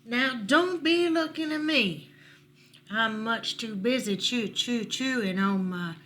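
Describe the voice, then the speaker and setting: A woman's voice: a little high, slow pace, a bit bright. Woman as a grumbling, sputtering porcupine. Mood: grumpy, dismissive. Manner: sputtering, chewing through words. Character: busy, grumbling porcupine chewing an antler.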